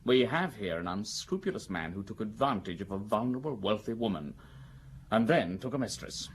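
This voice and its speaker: An actor playing an imperious, snobbish magistrate in a British radio drama, with a pompous, exasperated manner. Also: male voice, on the low side, expressive, fairly quick, lightly acted. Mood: indignant, haughty. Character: impatient, snobbish examining magistrate, prejudging and imperious. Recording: noisy radio drama recording.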